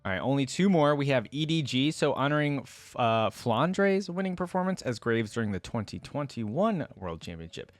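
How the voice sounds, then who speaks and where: A man's voice: mid-range voice, sing-song, normal speed, few pauses, no room sound. A man hosting a casual reaction video, musing aloud in a conversational, informal way. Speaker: YouTube host. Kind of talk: casual reaction commentary, thinking aloud and reading trivia.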